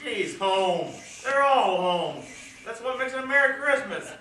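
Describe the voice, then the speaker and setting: A man playing a merry tipsy reveler, very high voice. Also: animated, unhurried. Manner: merry, laughing. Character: jovial tipsy male carouser. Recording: echoey stage from far off, crowd behind.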